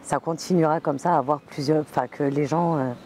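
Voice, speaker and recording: A woman's voice: sits low, fairly even intonation, fairly quick, a little mellow, a little hiss or hum. A woman in an interview, speaking hesitantly with many fillers.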